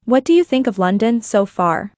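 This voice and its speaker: A woman voicing scripted questions and answers in an English lesson, reading each line clearly and then repeating it. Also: a little high, sing-song, normal speed, darkish tone, no echo, clean studio sound. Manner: clear, careful reading, each phrase said twice.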